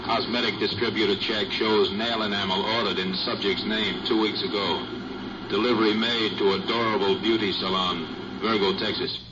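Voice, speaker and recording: A man's voice: fairly low pitch, fairly even intonation, moderate pace, lo-fi sound, close to an everyday voice. A man playing a formal, clipped police radio dispatcher in a 1950s radio drama. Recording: distant noisy old radio recording.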